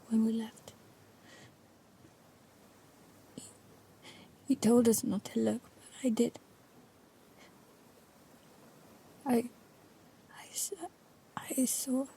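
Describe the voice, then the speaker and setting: An actress playing an earnest, frustrated daughter in an audio drama, wanting to be included. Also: female voice, fairly high pitch, warm tone, a little hiss or hum. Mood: haunted, fearful.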